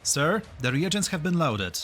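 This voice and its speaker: Male fan-dub actor as deferential assistant, nervous, hesitant, lively intonation, faint music. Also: a little high, moderate pace. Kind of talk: amateur fan-dub acting.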